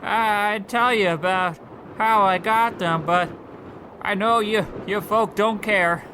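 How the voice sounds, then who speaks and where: A man's voice: high voice, steady tone, takes it slowly. A man playing a meek, naive old government clerk who speaks hesitantly and wordily in an old-time radio comedy. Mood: earnest, pleased. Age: older. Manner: hesitant, stammering, wordy and earnest. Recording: noisy outdoor street ambience with traffic.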